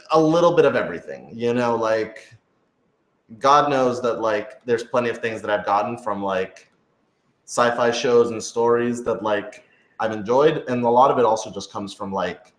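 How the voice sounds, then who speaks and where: A man's voice: fairly low pitch, expressive, moderate pace. A man chatting casually as a writer and producer answering questions, rambling and leaning heavily on filler words. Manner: rambling, heavy use of "like". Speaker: writer and producer of an audio drama. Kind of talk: casual Q&A chat. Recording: roomy, echoing indoor sound.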